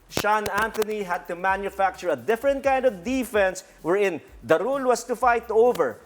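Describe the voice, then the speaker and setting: A middle-aged man, a coach explaining basketball plays in a deliberate, emphatic teaching manner in a TV studio. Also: high voice, background noise. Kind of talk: explanatory play breakdown.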